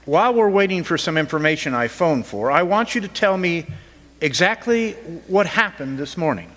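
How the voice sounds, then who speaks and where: A man plays a police inspector in a radio mystery, questioning suspects with deliberate, halting phrasing. His voice is on the high side, and it rings in a reverberant theatre hall. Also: animated, a bit bright. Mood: probing, measured. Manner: hesitant, deliberate, frequent uhs. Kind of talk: old-time radio mystery acting. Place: community theatre stage. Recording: echoey hall stage recording.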